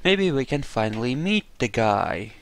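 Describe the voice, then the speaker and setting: A man doing roleplay commentary over a game, musing aloud and explaining his character's reasoning in a deliberate way. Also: mid-range voice, sing-song, fairly bright tone. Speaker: gamer narrating a roleplay playthrough.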